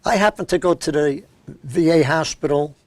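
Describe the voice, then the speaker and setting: An older man from Brooklyn with a New York accent and a fairly high voice hosts a local cable talk show. He speaks bluntly and with strong opinions. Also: normal speed, darkish tone, dry recording. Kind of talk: opinionated interview chat.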